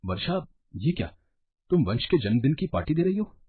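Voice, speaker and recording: Man acting husky, worried husband. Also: fairly high pitch, sing-song, a little fast, phone-quality sound, full character voice.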